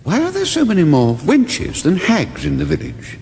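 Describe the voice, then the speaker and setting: Give me a timid, foolish fantasy king, hesitant and apologetic, wildly varied in pitch, in a reverberant hall. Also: wildly swooping pitch, moderate pace, vocal fry, a little mellow, a little hiss or hum, heavily acted. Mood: mildly puzzled. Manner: hesitant, bumbling, mildly apologetic. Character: foolish, timid, dithering, over-polite king. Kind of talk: radio comedy fantasy parody.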